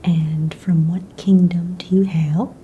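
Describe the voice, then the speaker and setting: A woman playing a calm, businesslike young fantasy egg hunt official in a breathy, soft-spoken ASMR roleplay, casual and matter-of-fact. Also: lowish voice, takes it slowly, narrow, tinny audio, a little hiss or hum. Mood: calm, polite. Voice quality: breathy, whispery.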